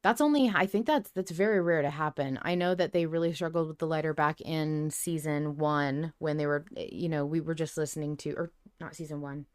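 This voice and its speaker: A woman's voice: low voice, brisk, few pauses, clean tone. A woman co-hosting a podcast, chatting casually and emphatically as a fan, with some swearing. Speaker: podcast co-host. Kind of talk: casual fan discussion of an audio drama.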